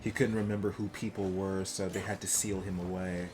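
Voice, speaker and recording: Male game streamer, lowish voice, casual, explanatory, easygoing, clear noise of game sounds behind. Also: little pitch movement, a little fast. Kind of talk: casual live game commentary.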